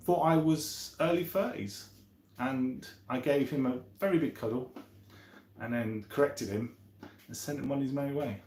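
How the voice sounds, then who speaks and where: A man's voice: mid-range voice, a little mellow. A man in his fifties hosting a casual live stream, chatting in a rambling, chummy way as he answers viewers. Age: 50s. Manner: rambling, chummy, thinking aloud. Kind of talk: casual chat, reading out and answering viewer comments. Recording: roomy echo.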